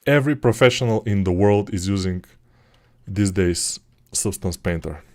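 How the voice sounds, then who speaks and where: A man's voice: deep voice, normal speed. A man with a Bulgarian accent teaching 3D modeling on a live stream, chatting casually and answering viewer questions with many restarts and fillers. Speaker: 3D art instructor.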